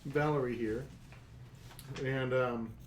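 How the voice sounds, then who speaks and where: A man's voice: medium pitch, steady tone, slow pace, roomy sound, slight background noise, close to an everyday voice. A man voicing a proud female werewolf pack leader, curt and guarded.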